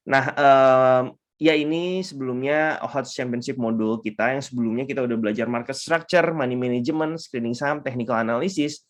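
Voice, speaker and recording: A man with a hoarse, congested voice leading an upbeat online class as a trading mentor, often pausing on fillers and mixing in English terms. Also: medium pitch.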